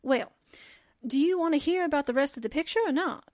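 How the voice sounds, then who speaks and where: Woman as a high-pitched, chatty newspaper assistant. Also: fairly quick, darkish tone, dry recording, studio quality, natural voice. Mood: eager storytelling. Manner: folksy, gossipy storytelling. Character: chatty, dramatic young female newspaper assistant. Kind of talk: radio drama comedy acting.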